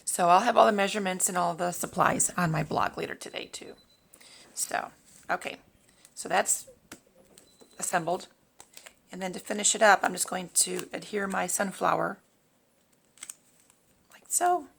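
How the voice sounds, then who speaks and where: A woman hosting a casual craft tutorial in a raspy, hoarse voice, talking informally and a little haltingly as she works. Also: fairly low pitch, a bit bright, slight background noise. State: hoarse, throat-clearing from long talking. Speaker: host of a papercraft tutorial. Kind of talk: casual craft demonstration, talking while working.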